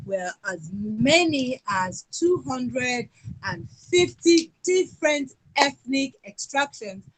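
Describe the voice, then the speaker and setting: A Nigerian woman with a Yoruba accent, presenting her country's culture and songs in an unhurried talk full of fillers. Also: on the high side, breathy edge, low-quality recording. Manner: sings folk songs, speaks slowly and warmly with fillers.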